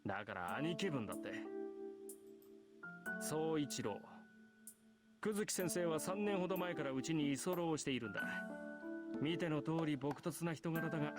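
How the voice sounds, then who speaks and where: An actor playing an earnest, proper male high school student in a visual novel, speaking in formal, old-fashioned phrases. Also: expressive, fast talker, pauses often, close to an everyday voice. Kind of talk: anime visual novel voice acting. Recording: loud game music behind. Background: game music.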